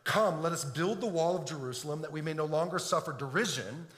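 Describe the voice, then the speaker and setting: A middle-aged man preaching a sermon in an earnest, driving way, circling back over his own words as he builds each point. His voice sits a touch high for a man. It rings out in a church hall with a long, roomy echo. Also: fairly quick, fairly bright tone. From Detroit. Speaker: pastor. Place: church sanctuary. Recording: echoey hall with long reverb.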